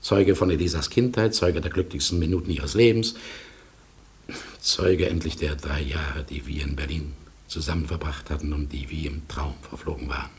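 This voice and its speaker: An actor playing a melancholy husband in a German radio drama, speaking in an emphatic, halting, dramatic way. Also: male voice, a bass voice, creaky. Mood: mournful, intense. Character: melancholy man narrating his lost love, anxious husband. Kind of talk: German radio drama acting, narration and dialogue.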